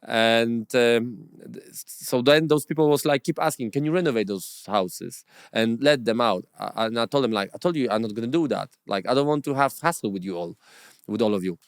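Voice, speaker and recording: Guy talking property as a guest, broken english, lots of uhs and likes, smooth clear voice, dry sound. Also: medium pitch, dry recording. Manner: halting, with many fillers and restarts; non-native English grammar. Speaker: property investor, podcast guest. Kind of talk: conversational interview storytelling.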